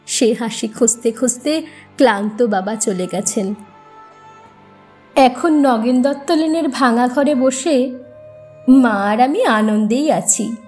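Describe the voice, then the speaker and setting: A woman reciting a narrative poem in an expressive, measured manner with deliberate pauses. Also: a little high, faint music behind. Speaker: poetry reciter. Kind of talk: dramatic Bengali poem recitation, first-person narrative.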